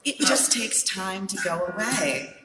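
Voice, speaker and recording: A woman's voice: medium pitch, animated, unhurried, noisy background, close to an everyday voice. A woman playing a harried but patient doctor in a comedy radio play, placating and matter-of-fact. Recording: echoey hall, picked up from a distance.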